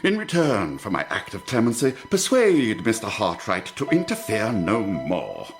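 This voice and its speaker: An actor playing a suave, courtly gentleman villain in an audio drama, florid and theatrical, with menace under the courtesy. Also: lowish voice, huge pitch swings, a little slow, faint music behind, exaggerated voice. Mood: tender menace.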